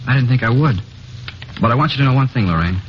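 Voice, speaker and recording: An actor playing a troubled young man in a 1950s radio drama, halting, earnest and apologetic. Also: mid-range voice, lively intonation, lightly acted. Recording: dull old radio broadcast with faint hiss.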